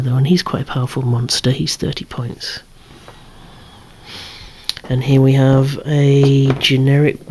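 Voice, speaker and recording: A person whispering an ASMR show-and-tell of a collection in a hushed, breathy, unhurried way, musing aloud with wry asides. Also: mid-range voice. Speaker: toy collector presenting a collection.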